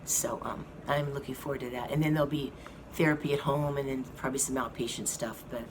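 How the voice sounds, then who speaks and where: Hoarse woman, very deep voice, slow halting talk, fan hum behind. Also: steady tone. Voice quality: slow, halting speech with many fillers. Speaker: vlogger giving a health update. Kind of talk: casual update to viewers. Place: hospital rehab room with an air conditioning fan. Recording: noisy room with fan hum. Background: air conditioning fan.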